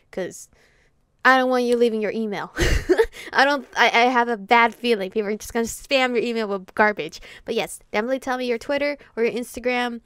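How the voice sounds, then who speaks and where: A woman's voice: on the high side, fairly bright tone, clean tone, dry recording, clean studio sound. A young woman doing chatty, playful let's play commentary and reading game dialogue aloud, with game music behind her. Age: young adult. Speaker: gaming video host.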